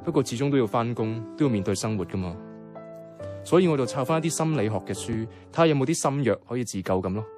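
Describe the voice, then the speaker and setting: A man with a Hong Kong Cantonese accent playing a caring, easygoing young flatmate in a radio drama, talking casually and earnestly. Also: medium pitch, fast, natural voice. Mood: earnest, reflective. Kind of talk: conversational radio drama dialogue. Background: background music.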